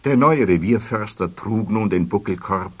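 A man's voice: on the low side, normal speed, slightly rough. A man narrating a children's fairy-tale audio play as a scripted storyteller. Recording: music behind, children's cassette production.

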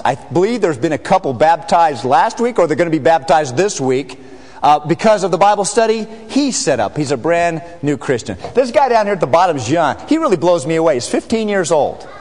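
A middle-aged American man, a missionary, telling stories to a church congregation in an animated, joking way. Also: on the high side. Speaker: missionary speaker. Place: large church sanctuary. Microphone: far camera. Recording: reverberant sanctuary.

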